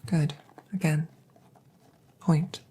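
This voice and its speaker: Lady playing an alien scientist girl for asmr, low soft whispery voice, really slow, calm and clinical, formal wording. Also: breathy edge, exaggerated voice. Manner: precise, formal, detached, unhurried. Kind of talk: ASMR audio roleplay, close soft whispering. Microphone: close microphone.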